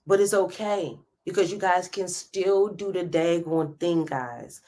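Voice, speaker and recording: A woman's voice: fairly low pitch, measured pace, warm tone. A woman in her thirties hosting a live stream, giving a passionate motivational talk straight to her viewers. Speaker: host.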